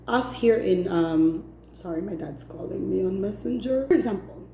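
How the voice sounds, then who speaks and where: A woman's voice: mid-range voice, moderate pace, creaky, mellow, reverberant, slight background noise. A woman from Cebu City, venting straight to camera in a vlog, emphatic and fed up, hammering each point home. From Philippines, Cebu City. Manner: emphatic and exasperated, repeating points for stress. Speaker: vlogger. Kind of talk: direct-to-camera opinion rant.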